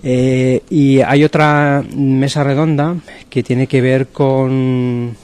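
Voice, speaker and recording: Spanish man presenting in halting phrases. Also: medium pitch, flat delivery, a little mellow, clean tone. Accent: Spain. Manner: hesitant, halting delivery with repeated phrases. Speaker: university event coordinator. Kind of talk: spoken presentation of an event programme.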